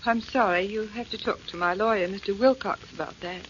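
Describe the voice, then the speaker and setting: A woman's voice: mid-range voice, fairly quick, lightly acted. A woman playing a polite, evasive, well-to-do widow in a 1940s radio drama. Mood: reluctant. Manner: polite, reluctant. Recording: muffled, hissy 1940s radio broadcast.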